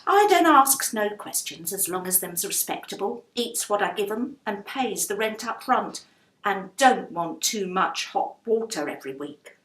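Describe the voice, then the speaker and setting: A woman's voice: medium pitch, expressive, a little slow, fairly bright tone, echoey room, poor audio. A woman voicing a chatty, blunt working-class London landlady in a children's storybook. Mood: blunt, indignant. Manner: chatty, blunt, colloquial non-standard grammar.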